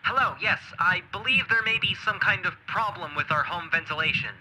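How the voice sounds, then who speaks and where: A concerned parent phones a hotline in a video game. The delivery is measured and formal, far lower than a shrill cry. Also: female voice, lively intonation, pushed and bright, soft background music. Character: worried parent calling a household hotline about house trouble.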